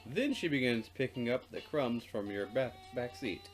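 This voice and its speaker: Dry man with lively, swinging intonation. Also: medium pitch, moderate pace, over music. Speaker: let's play co-host who reads the game narration. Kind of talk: casual chat and narrated game text.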